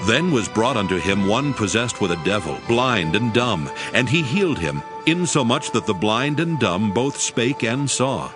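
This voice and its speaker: A man serves as narrator of a scripture drama. His mid-pitched voice moves in lively contours through formal storytelling, with music clearly playing behind him. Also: normal speed, few pauses.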